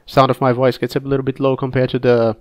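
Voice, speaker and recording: A man with a cold casually commenting on his own gameplay, relaxed and halting, coughing now and then. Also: medium pitch, a little hiss or hum. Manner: relaxed, halting, frequent restarts and fillers. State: sick, coughing.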